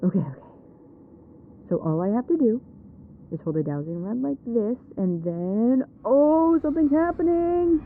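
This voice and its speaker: Actress playing anxious young woman ghost hunter, lively intonation, reluctant, audio roleplay, phone-like radio filter. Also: a little high, normal speed, a little hiss or hum. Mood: nervous. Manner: nervous, expressive. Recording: thin, phone-like radio sound.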